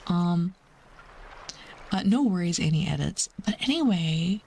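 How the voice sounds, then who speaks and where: A woman livestreamer chatting casually with her viewers in a rambling, informal way, sometimes dropping into a soft near-whisper. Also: fairly low pitch, lively intonation, unhurried, creaky, husky. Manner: chatty, rambling, calls herself Mama.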